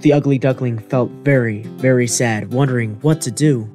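A man's voice: mid-range voice, normal speed, dark tone. A man reading scripted narration for a children's fairy tale. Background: music.

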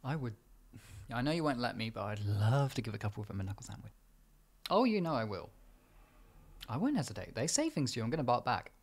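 A man playing a protective, affectionate boyfriend in an improvised ASMR roleplay, speaking in a husky, soft, reassuring and intimate way. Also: mid-range voice, sing-song, fast, long pauses. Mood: protective, indignant. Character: protective, affectionate boyfriend comforting his insecure partner.

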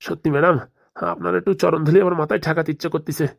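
A woman's voice: sits low, level tone, racing, gravelly, no room sound, clean studio sound. A woman voicing a fawning, gullible middle-aged male politician in a cartoon, wordy and deferential. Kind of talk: amateur cartoon voice acting.

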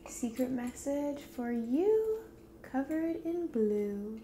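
A woman in her twenties doing a soft-spoken ASMR roleplay to the listener, gentle and hushed, drifting into whispers. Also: high voice, big pitch swings, slow pace, a little hiss or hum. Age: 20s. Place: dim indoor room at a table.

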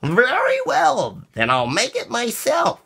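A man voicing a fussy, industrious female hen from a storybook, in an exaggerated singsong with drawn-out calls. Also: very high voice, wildly swooping pitch, gravelly, sharp tone, breathy edge, pitched above the performer's own voice, exaggerated voice. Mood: resigned, determined.

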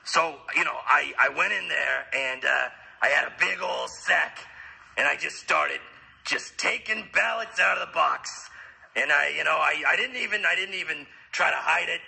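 A boastful old con man with a deeply gravelly, crackling voice, rambling and pleased with himself, as a man puts him on. His tone is sharp and bright. It is all said as if over the phone on a prank call. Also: normal speed, heavily acted.

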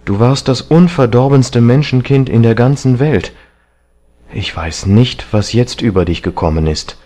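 A man voicing a grieving, reproachful painter in an audiobook novel, earnest, hushed and strained. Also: mid-range voice, a little slow, lightly acted. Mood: sad, reproachful.

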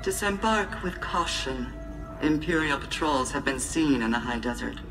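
Reverent envoy, stiffly formal, solemn, video game dialogue, music behind. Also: female voice, low-pitched, animated, normal speed. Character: solemn, formal messenger of a secretive order. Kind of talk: video game cutscene acting.

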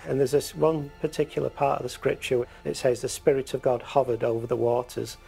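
A man's voice: medium pitch, flat delivery, a little fast, warm tone. A middle-aged man from England, a stained glass craftsman, patiently explaining his work in his workshop. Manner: gravelly, patient and instructive. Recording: faint music bed underneath.

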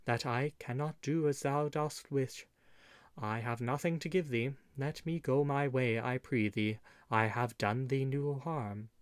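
Man voicing a soft, gentle young dandy. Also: mid-range voice, a little slow, clear, resonant tone, no echo, lightly acted. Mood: mild, patient. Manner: languid, courteous, archaic speech. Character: soft-spoken, gentle, dandyish young stranger, politely menacing. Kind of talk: audiobook storybook character.

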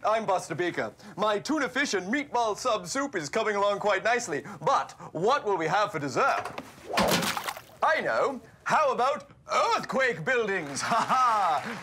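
A man playing a jolly, excitable TV chef, presenting to children in a playful, theatrical, exclamatory way. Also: high-pitched, noisy background. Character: excitable, jolly male TV cooking-show chef. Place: kitchen set, indoors.

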